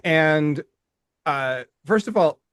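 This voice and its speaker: Lively, higher-voiced man who laughs easily. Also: slow pace, clear, resonant tone, no room sound, clean studio sound. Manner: laughs often, stammers on restarts. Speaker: podcast co-host. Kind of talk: casual conversation.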